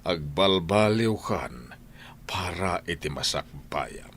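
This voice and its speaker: A man's voice: sits low, expressive, fairly bright tone, slight background noise, heavily acted. A man playing a stern, domineering husband and head of the household in an audio drama, lecturing in an Ilocano Filipino accent. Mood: preachy, stern. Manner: slow, lecturing, commanding.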